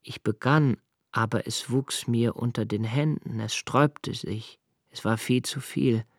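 An actor playing an introspective, haunted man who recalls his childhood in a literary radio play, with measured, precise phrasing and deliberate pauses. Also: medium pitch, fairly even intonation, no room sound, clean studio sound. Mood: distressed. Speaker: radio drama narrator. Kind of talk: literary first-person radio play narration.

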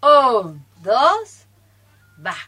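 A woman announces live as presenter of a radio theatre session. Her voice is a little high and bright, as she directs the cast. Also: big pitch swings, extremely slow, husky.

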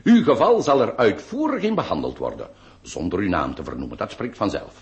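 Pompous surgeon with wildly swooping intonation. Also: male voice, fairly low pitch, big pitch swings. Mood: self-satisfied. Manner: pompous, formal, deliberate emphasis. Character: self-assured, vain 19th-century surgeon, soothing and pedantic. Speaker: radio drama actor. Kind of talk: theatrical Dutch-language radio play acting.

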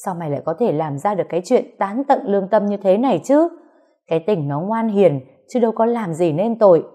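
A woman's voice: low-pitched, normal speed, dark tone, lightly acted. A woman voicing an anxious, fiery older mother who fusses and scolds her son in an audio story. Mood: angry wailing. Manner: fussing, scolding shrilly.

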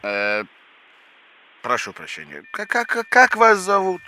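A man's voice: high voice, huge pitch swings, takes it slowly, pushed and bright, slight background noise, heavily acted. A man playing a fussy, indignant, absent-minded professor in a children's educational audio drama, with a pompous, exasperated theatrical delivery. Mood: pompous, lecturing.

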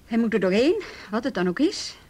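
An actress in a radio play playing a guarded, troubled but loyal wife, speaking haltingly and with reticence. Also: female voice, a little high, big pitch swings, a little mellow. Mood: resigned, defensive. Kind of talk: naturalistic Dutch radio play acting.

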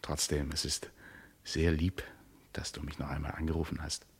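An actor in a German radio play as a domineering, mocking husband who talks with theatrical condescension. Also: male voice, very deep voice, monotone, moderate pace, slightly rough, full character voice. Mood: soft, reassuring. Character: sneering, domineering husband who enjoys power and taunting.

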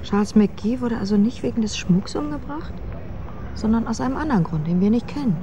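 An actress playing a detective's sensible, teasing secretary in a radio crime drama, composed and gently chiding. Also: female voice, on the high side, lively intonation, fairly quick, highs cut off, background noise, lightly acted. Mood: thoughtful.

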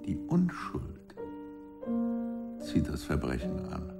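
Deep-voiced sceptic over loud piano. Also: male voice, wildly swooping pitch, takes it slowly, gravelly, heavily acted. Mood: brooding, sceptical. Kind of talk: German radio drama acting. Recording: loud piano music behind.

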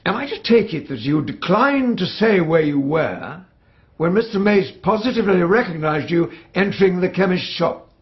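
Formal British coroner, highish creaky voice, measured questioning, stern, radio drama. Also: male voice, big pitch swings. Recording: dull, band-limited radio drama recording.

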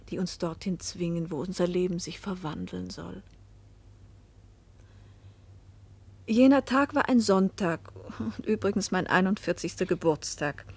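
An actress playing a pensive middle-aged wife and mother, recounting a fateful journey in measured literary narration that sometimes sinks toward a whisper. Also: mid-range voice, darkish tone, husky. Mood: reflective. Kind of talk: literary first-person narration in a German radio play.

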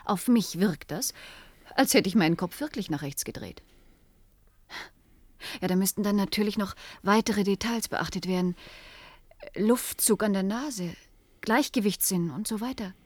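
Female scientist played by an actress, mid-pitched, hesitant and reasoning aloud, German sci-fi radio drama, very dry sound. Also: unhurried, long pauses, darkish tone, no room sound, lightly acted. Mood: curious, working it out. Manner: hesitant, thinking aloud, explanatory. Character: Natascha, thoughtful female cybernetics scientist reasoning things out. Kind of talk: German science fiction radio play acting.

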